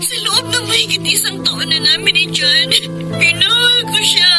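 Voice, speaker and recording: Very high-pitched, hurt young Filipino woman. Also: normal speed. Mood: hurt, tearful. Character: tearful, hurt young woman betrayed by her boyfriend. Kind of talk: Filipino radio drama acting. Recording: loud music under the voice.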